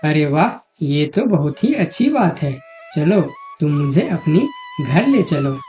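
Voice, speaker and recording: Clever, trusting yet shrewd monkey for an animal fable cartoon, male actor; soft dark tone, earnest, music underneath. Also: a little high, sing-song, takes it slowly. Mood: cheerful. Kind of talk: Hindi moral-story cartoon acting.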